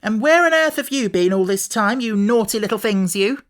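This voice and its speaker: A woman voicing an indignant, scolding housekeeper in a children's storybook, sharp and cross in manner. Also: medium pitch, expressive, moderate pace, pitched above the performer's own voice, close to an everyday voice.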